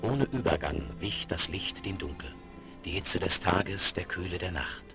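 Solemn storyteller, very low, gravelly, literary narration, western audio drama, music behind. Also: male voice, measured pace. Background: loud music.

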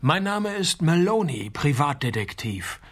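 An actor playing a wry, world-weary private detective in a radio crime comedy, deadpan and sardonic. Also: male voice, a little high, measured pace, slightly rough, exaggerated voice. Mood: dry, wry. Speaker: detective and narrator. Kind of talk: radio crime comedy, first-person noir narration.

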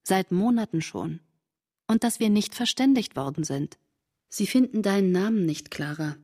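A woman's voice: mid-range voice, animated, normal speed, a little mellow. An actress playing a shaken woman writing her diary in a literary radio drama, speaking intensely in terse fragments. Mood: agitated, urgent.

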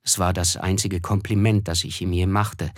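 An actor playing a reflective, melancholy narrator who looks back, telling a literary radio drama in measured, thoughtful phrases. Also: male voice, sits low, steady tone, dry recording, clean studio sound.

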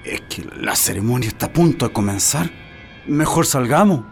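Worried, gravelly-voiced gentle companion. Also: male voice, mid-range voice, animated, normal speed, faint music behind. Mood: worried, soothing. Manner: hesitant, concerned. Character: worried, gentle man soothing a distressed woman. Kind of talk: radio drama acting.

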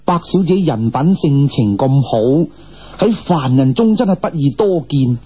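A calm, wise immortal woman, played by a male storyteller, counsels in Cantonese in a storybook. The voice is very husky, measured and admonishing, with a slightly muffled sound. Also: a little high, full character voice.

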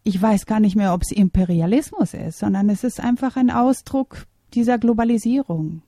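A middle-aged woman answers interview questions in a calm, reflective way, often pausing to find her words. Her voice is warm and mellow. The recording is dry and close, with no room sound. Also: medium pitch, no echo. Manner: thoughtful, measured, with hesitations. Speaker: interviewee, German teacher.

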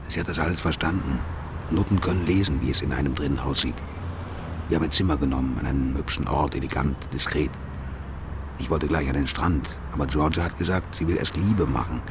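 Clear background noise surrounds a rock-bottom, gravel-throated male voice. a doomed, foul-mouthed killer in a radio drama, brooding bitterly as he confesses. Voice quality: very deep, gravelly. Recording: clearly noisy ambience behind voice.

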